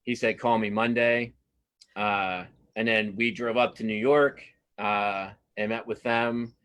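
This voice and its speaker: Low-voiced middle-aged man, enthusiastic. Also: fairly even intonation, slow pace, fairly bright tone. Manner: enthusiastic, repeats words for emphasis. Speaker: guest cartoonist and comics writer. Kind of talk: casual interview chat.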